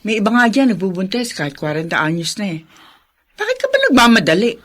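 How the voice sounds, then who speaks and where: An actress playing a frank, exasperated but affectionate older sister in a radio drama, scolding in a colloquial way. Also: female voice, mid-range voice, big pitch swings, quick pace, a bit bright.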